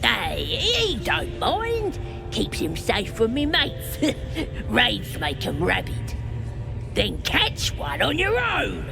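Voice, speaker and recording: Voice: mid-range voice, wildly swooping pitch, takes it slowly, gravelly, over music, full character voice. An actor playing a shifty, dim goblin guard in a game, slangy and sneering. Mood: sullen, possessive. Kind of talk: game character acting.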